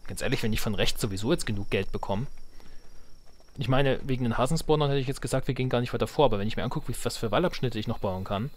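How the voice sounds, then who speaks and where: A man's voice: medium pitch, fast talker. A man giving casual let's play commentary, thinking aloud in colloquial speech. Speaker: let's player.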